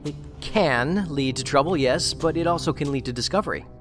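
An actor in an audio drama playing an inquisitive male federal agent with a measured, dry, probing delivery. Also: fairly high pitch, natural voice. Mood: curious, probing. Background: music.

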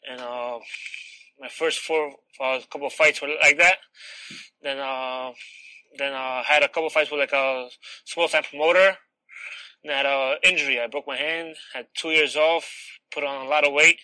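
A man from Poland, a professional boxer, giving earnest, plainspoken, halting interview answers. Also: medium pitch, steady tone, sharp tone, clean tone, no echo, rough recording.

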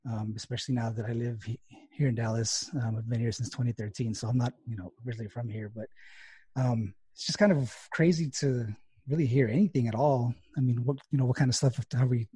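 A man's voice: medium pitch, fairly even intonation, fast talker, mellow, husky, no room sound. A man from West Texas, hosting an interview in a loose, filler-heavy way. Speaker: podcast host. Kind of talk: casual interview talk.

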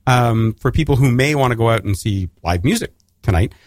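Canadian male radio host, middle-pitched, dry close studio sound, rambling with restarts. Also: lively intonation, brisk, no room sound. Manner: rambling, many restarts and fillers. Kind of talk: casual radio interview.

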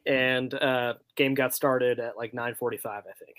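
A man's voice: mid-range voice, steady tone, measured pace, a bit bright, no room sound. A man chatting casually as a soccer fan podcast panelist, talking loosely with many filler words. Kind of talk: casual chat.

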